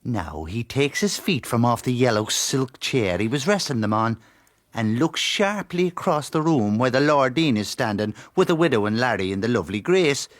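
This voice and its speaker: An actor playing an Irish country storyteller, narrating a period radio drama in a lyrical, colloquial way. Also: male voice, medium pitch, sing-song, moderate pace, no echo, clean studio sound, close to an everyday voice. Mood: vivid, storytelling. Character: Irish peasant storyteller, wry and loyal brother.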